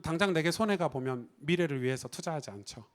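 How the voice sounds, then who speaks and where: A man from Korea giving a Sunday sermon in a church, with a voice a little higher than most men. He talks conversationally, asks his listeners questions and acts out short quoted lines. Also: animated, quick pace. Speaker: pastor.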